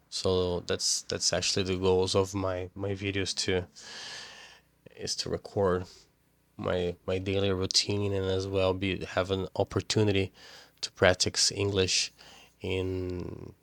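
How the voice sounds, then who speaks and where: A young man with a Portuguese accent talks casually to his viewers, his low voice gravelly with fry. He speaks in a flat, even tone, stopping and restarting often. The recording is dry and close. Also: no room sound. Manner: halting, repeats words, many fillers. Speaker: pressure washing business owner. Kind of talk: casual vlog talk to camera.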